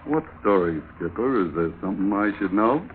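A man's voice: lowish voice, animated, normal speed, close to an everyday voice. An actor, a man, playing a cool, needling sailor after a berth, slangy and unruffled in an old radio drama. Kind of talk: 1940s-50s American radio acting. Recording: muffled, noisy old radio recording.

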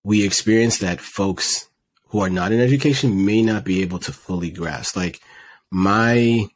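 Deep, husky, laid-back man. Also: moderate pace, low-quality recording. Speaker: podcast host, middle school teacher. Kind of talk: casual interview conversation.

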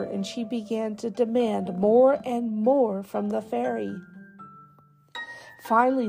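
A woman reading scripted children's folk tales in a measured, deliberate storybook delivery. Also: mid-range voice, gravelly, mellow.

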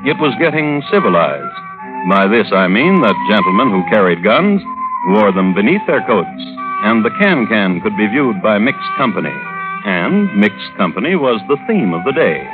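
Droll true-crime host, low-pitched, animated, wry ornate narration, vintage radio, narrow band. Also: male voice, moderate pace. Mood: wry, measured. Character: dry, droll gentleman connoisseur of true crime. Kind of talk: 1950s radio scripted narration. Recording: old narrowband radio recording with music.

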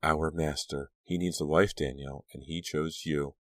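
A man voicing a sinister small woman in a storybook who poses as a gentle aunt, firm, blunt and coaxing. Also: extremely low pitch, steady tone, normal speed, dry recording. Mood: bluntly triumphant.

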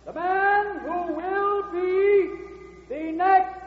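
A man's voice: very high-pitched, steady tone, drawn-out words, slight background noise, exaggerated voice. A man acting a bombastic convention orator in an old-time radio drama, declaiming grandly to be heard. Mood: rousing. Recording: echoey, tinny old radio hall scene.

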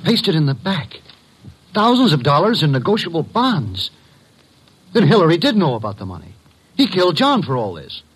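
An actor playing a flustered, indignant client in a vintage radio drama, agitated and incredulous. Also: male voice, on the high side, big pitch swings, normal speed, long pauses, slightly rough, low-quality recording. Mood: excited. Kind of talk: 1950s radio drama acting.

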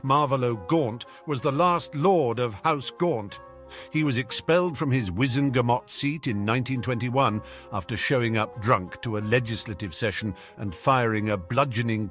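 A man voicing a cocky, mocking teenage boy wizard who talks quickly and showily. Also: medium pitch, a bit bright, lightly acted. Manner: sneering, quick, showy.

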